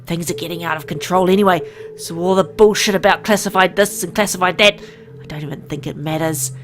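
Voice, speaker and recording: A woman's voice: on the low side, a little fast, close to an everyday voice. A woman voicing a frank, secretive medical insider in a visual novel, explaining in an earnest, conspiratorial way. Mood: frank, urgent.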